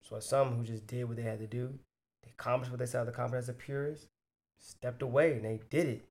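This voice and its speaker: Gravelly-voiced guest man from the East Coast. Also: medium pitch, fairly even intonation, fast talker, darkish tone. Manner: argumentative, repeats words for emphasis. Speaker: podcast guest. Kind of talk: casual chat.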